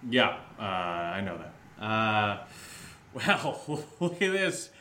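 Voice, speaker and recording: A man acting in a horror audio drama as an excitable, sarcastic friend, with an animated, halting, rambling delivery. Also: on the low side, noisy background, exaggerated voice. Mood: rambling, wry. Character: excitable, sarcastic, rattled male friend who drinks.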